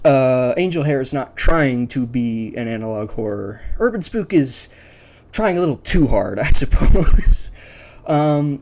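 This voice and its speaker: A man's voice: mid-range voice, darkish tone. A man hosting a ranking video in a casual, unscripted way, thinking aloud conversationally and sharing his opinions. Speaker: video host ranking a series list.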